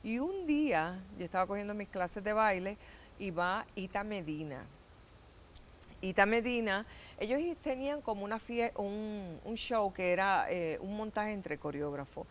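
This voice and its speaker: A middle-aged Puerto Rican woman, an actress, telling stories as a guest in a TV studio interview, animated and gesturing. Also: medium pitch, unhurried, a little hiss or hum. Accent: Puerto Rican Spanish. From Puerto Rico. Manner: animated storytelling with gestures, laughs and acted-out quotes. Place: TV studio set.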